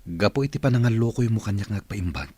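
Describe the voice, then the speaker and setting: A man's voice: fairly low pitch, animated, fast talker, dark tone, breathy edge, dry recording. An actor playing a grateful, earnest businessman boss in a radio drama.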